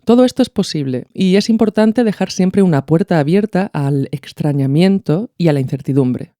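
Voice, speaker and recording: A woman's voice: lowish voice, animated, moderate pace, warm tone, dry recording, clean studio sound. A woman with a Peninsular Spanish accent hosting a podcast, speaking in a thoughtful, informal, reflective way. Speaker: podcast host. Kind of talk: reflective commentary with a literary reading.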